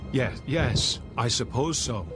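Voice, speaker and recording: A man's voice: medium pitch, takes it slowly, natural voice. A man playing an anxious, hesitant airline passenger who stammers, in a radio drama. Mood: shaken, uneasy. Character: anxious, rattled male airline passenger doubting his own eyes. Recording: dramatic music behind the voice.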